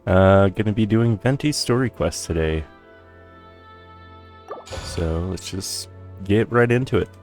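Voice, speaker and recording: A man's voice: on the low side, takes it slowly, pauses often, a little mellow, soft background music. A man giving casual, laid-back let's-play commentary as a video game streamer.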